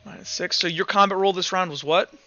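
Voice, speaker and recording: A man's voice: high voice, fast talker, sharp tone. A man acting as game master in an online roleplay session, narrating vividly and checking rules in an informal way. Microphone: online voice call. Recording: dull online voice-chat audio with music behind. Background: music.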